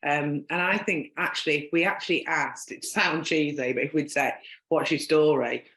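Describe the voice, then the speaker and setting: A woman's voice: deep voice, steady tone, moderate pace, a bit bright. A woman with a Northern English accent, hosting a podcast interview in a chatty, rambling way full of "um" and "like". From Northern England. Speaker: podcast host. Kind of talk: casual conversational interview. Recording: echoey room.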